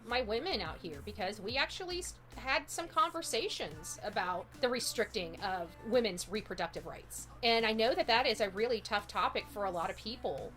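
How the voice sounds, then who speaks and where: Female live commentary host: sharp, slightly high voice, emphatic and rambling casual delivery, opinionated. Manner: blunt, emphatic, rambling, chatty. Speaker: livestream host.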